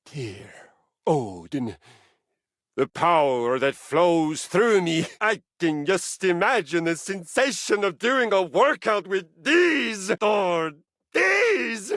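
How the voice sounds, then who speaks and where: An actor playing a boastful, violent, lusty Viking warrior in a video game, with grandiose, theatrical, archaic phrasing. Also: male voice, on the high side, gravelly, a bit bright, dry recording, clean studio sound, full character voice. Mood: rapturous. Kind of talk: video game character acting.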